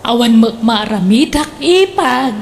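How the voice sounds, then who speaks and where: A woman's voice: fairly high pitch, lively intonation, a little slow, a little hiss or hum. A woman playing a pious, meek mother in an Ilocano radio comedy drama, with an Ilocano accent. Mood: helpless, defensive.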